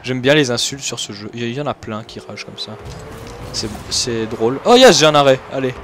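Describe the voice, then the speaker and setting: Young man, excitable gaming commentary. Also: medium pitch, fairly quick, slight background noise. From Switzerland. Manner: excitable, laughs often, shouts at plays. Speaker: gaming YouTuber. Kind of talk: live gameplay commentary.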